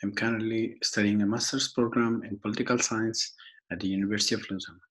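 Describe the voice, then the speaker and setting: A young man from Afghanistan with an Afghan accent, giving a prepared podcast introduction in a formal, halting way, often repeating and rephrasing. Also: lowish voice, little pitch movement, a bit bright, poor audio. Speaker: podcast host and foundation worker.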